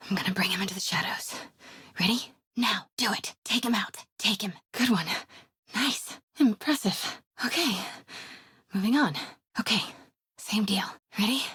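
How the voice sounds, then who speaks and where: An actress playing a brave, quick-witted young woman reporter in a video game, with an American accent, a breathy, husky voice and a wry, conversational, often hushed delivery. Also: mid-range voice, dry recording, studio quality. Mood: hushed, urgent, then determined, upbeat. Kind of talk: naturalistic video game voice acting.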